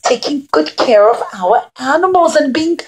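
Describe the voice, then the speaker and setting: Female children's storyteller with a high voice, simple phrasing, direct address to young listeners. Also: expressive, moderate pace, darkish tone. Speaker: children's puppet show storyteller.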